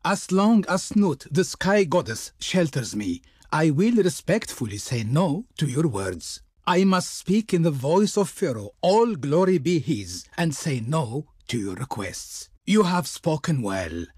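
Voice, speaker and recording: An actor playing a haughty, pompous envoy of an ancient Egyptian Pharaoh in a strategy video game, declaiming in ornate, theatrical phrases. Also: male voice, on the high side, big pitch swings, a little slow, hardly any pauses, darkish tone, husky, dry recording, studio quality, full character voice. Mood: courteous refusal. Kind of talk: theatrical historical strategy game diplomacy lines.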